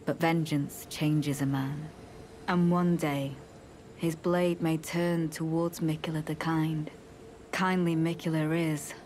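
Low voiced knight lady in a fantasy game, devout n ruthless, very measured and formal, ambient noise behind. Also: darkish tone. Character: composed, zealous woman knight, coolly ruthless and devout. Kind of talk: scripted fantasy game dialogue.

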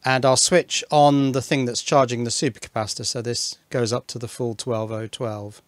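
A man presents a hands-on electronics build in an informal way. He thinks aloud as he explains each step, with a mid-pitched voice in a dry, echo-free recording. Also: little pitch movement, normal speed. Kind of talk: informal hands-on technical narration.

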